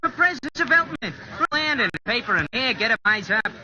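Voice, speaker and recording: A woman acts a wisecracking young paper hawker in a 1940s radio drama. The voice is high and pert, full of street cries and back-talk. The old recording is dull and very noisy. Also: lively intonation, fast talker, lightly acted. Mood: hawking. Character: cheeky, wisecracking young street newspaper seller.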